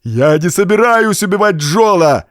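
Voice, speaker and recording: A man's voice: high voice, expressive, no room sound, studio quality, pitched above the performer's own voice. A man voicing a big, simple-minded, deranged young brute in an audiobook, bellowing threats in a strained, shrieking voice. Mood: murderous rage. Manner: bellowing, howling threats in slow bursts.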